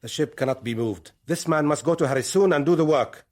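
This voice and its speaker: An actor playing a smugly menacing militant leader in a radio drama, authoritative, probing and condescending. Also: male voice, fairly high pitch, a little fast, darkish tone, natural voice. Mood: peremptory.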